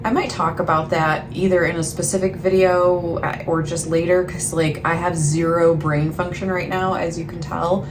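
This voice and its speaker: A woman with a fairly low voice talks casually to her viewers like a book vlogger. She rambles in a self-deprecating way and lets thoughts trail off. Clear ambient noise runs behind her voice. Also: little pitch movement, moderate pace, few pauses. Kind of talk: casual chatty vlog talk to camera. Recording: clearly noisy, ambient background sound.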